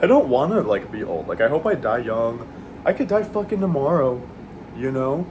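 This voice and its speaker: Chill guy rambling to camera w/ lots of "like", cusses a bunch, mid voice, air con humming loud behind him. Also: mid-range voice, normal speed. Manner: laid-back, rambling, swears freely, many fillers. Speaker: vlogger eating on camera. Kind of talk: casual chat while eating. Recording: steady air conditioning hum.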